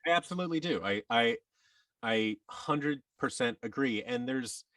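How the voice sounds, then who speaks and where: A man's voice: medium pitch, expressive, normal speed, fairly bright tone, no echo, recorded from a distance. A man giving advice as a podcast guest in a casual, rambling, emphatic way, often voicing imagined quotes as he explains.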